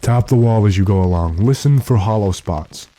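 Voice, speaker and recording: A man playing a resolute, impatient gentleman master in old-time radio drama, giving commanding, clipped instructions. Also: fairly low pitch, moderate pace, background noise, close to an everyday voice. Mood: stern, commanding.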